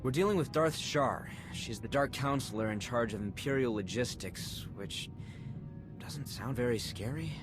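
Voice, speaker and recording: An actor playing a casual, wry companion in a video game. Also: male voice, mid-range voice, moderate pace, lightly acted. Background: music.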